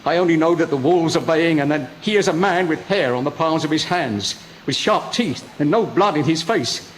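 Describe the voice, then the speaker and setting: A man's voice: a little high, normal speed, a little hiss or hum, natural voice, poor audio. An actor playing a frightened, desperate young male prisoner in a melodramatic, theatrical radio drama. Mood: haunted dread. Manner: anguished, theatrical.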